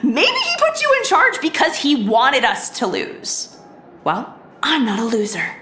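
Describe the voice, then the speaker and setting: A haughty cartoon villainess, drawls slowly in a high, animated voice. She sounds mocking and spiteful, in an echoey space. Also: full character voice. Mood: scornful. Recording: echoey room, faint noise.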